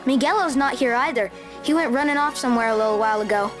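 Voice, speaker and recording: A woman voicing an excitable young shop helper in a video game, with an eager, informal way of talking. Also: fairly high pitch, quick pace, over music, close to an everyday voice. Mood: excited. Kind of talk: video game drama acting.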